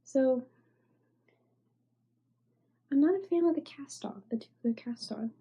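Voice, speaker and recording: Stuffy-voiced woman chatting softly about knitting. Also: high voice, animated, a little slow, creaky, husky, low-quality recording. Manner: rambling, filler-heavy, trailing off into soft near-whispers. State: stuffy, congested. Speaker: knitting podcast host. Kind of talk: casual show-and-tell chat.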